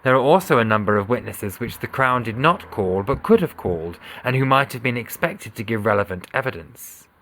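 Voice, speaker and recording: A man giving a sober, measured, formal reading of a scripted legal document. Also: medium pitch, lively intonation, fairly bright tone, slight background noise. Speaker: voice reader of a court judgment.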